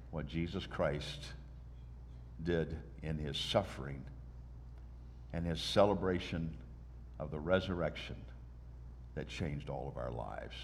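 A pastor with a congested voice from a sinus infection welcomes the congregation in church and leads them in prayer with earnest, steady delivery. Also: male voice, deep voice, slight background noise. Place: church sanctuary. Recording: long church-hall reverb.

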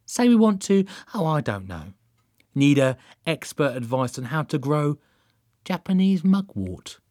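A man's voice: on the high side, sing-song, unhurried, darkish tone, no room sound, voice pushed up. A man voicing a matter-of-fact, scheming female cult leader in a comic audiobook, in a dry, polite and understated manner. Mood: sly.

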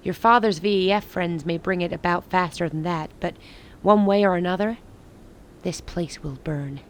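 An actress playing a grave, resolute woman who leads a besieged rebel city in a radio play, speaking in measured, formal lines. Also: lowish voice, slight background noise, close to an everyday voice. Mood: solemn, insistent. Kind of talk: dramatic radio play dialogue.

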